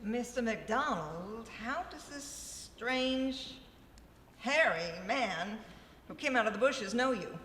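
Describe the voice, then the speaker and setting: A woman's voice: fairly high pitch, lively intonation, takes it slowly, fairly bright tone. A woman playing a naive, devoted young bride-to-be, with sweet, formal theatrical delivery in a live radio melodrama. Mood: puzzled. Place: live stage in a ballroom. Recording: echoey, noisy live hall.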